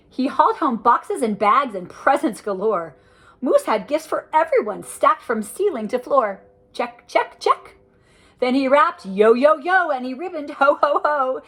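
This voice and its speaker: Grandma reading a picture book out loud, kinda high voice, bouncy sing-song way of telling it. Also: female voice, breathy edge. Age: older. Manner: lively, sing-song rhyming delivery with asides about the pictures. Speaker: storyteller reading to children.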